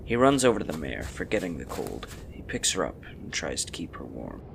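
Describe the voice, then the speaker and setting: A man's voice: very deep voice, wildly swooping pitch, brisk, creaky, background noise. A man reading scripted fantasy story narration as the narrator of an audio drama.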